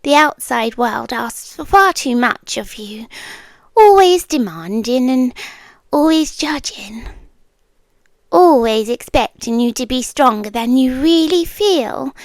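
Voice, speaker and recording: A British woman playing a soothing, possessive hypnotist girlfriend in an ASMR roleplay, speaking softly and breathily, often in a whisper, close to the microphone. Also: high-pitched, expressive, no room sound, heavily acted. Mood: soothing, hypnotic. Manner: slow, hypnotic, coaxing.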